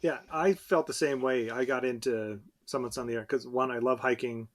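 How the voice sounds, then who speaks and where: A man's voice: medium pitch, steady tone, moderate pace. A man hosting a podcast, interviewing a guest in a friendly, rambling way. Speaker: podcast host.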